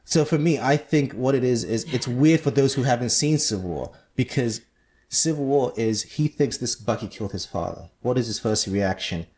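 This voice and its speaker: A man's voice: medium pitch, lively intonation, normal speed, darkish tone. A man from Zambia hosting a casual podcast discussion, explaining at length and animatedly. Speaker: podcast host.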